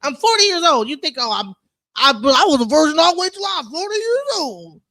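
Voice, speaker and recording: A woman in her forties talking bluntly and profanely in a loud, mocking way during a casual discussion. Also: high voice, big pitch swings, a little fast, dry recording. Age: 40s.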